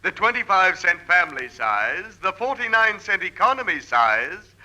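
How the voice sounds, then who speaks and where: Piercing, grandiose radio announcer. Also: male voice, high voice, very animated, normal speed, sharp tone, full character voice. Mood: hard selling. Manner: emphatic, salesmanlike. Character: grandiose, fast-selling male patent-medicine radio announcer. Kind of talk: 1940s radio commercial parody. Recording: faintly noisy 1940s radio recording.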